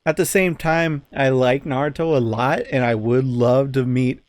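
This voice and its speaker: A man's voice: mid-range voice, fairly even intonation, moderate pace, no echo. A man chatting casually as a podcast co-host, telling anecdotes in a rambling, informal way with some swearing. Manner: rambling, informal, profane at times.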